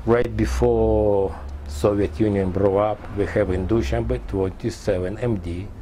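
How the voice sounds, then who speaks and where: A man in his sixties from Tajikistan, a Persian speaker, recounting his life in halting, fragmented English in an oral history interview. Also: lowish voice, fairly even intonation, warm tone, a little hiss or hum. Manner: halting non-native English in short fragments.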